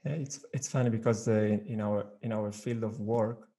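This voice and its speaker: A man hosting a conversational interview, speaking haltingly and repeating words as he searches for phrasing. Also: on the low side, fairly even intonation, mellow. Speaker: podcast host.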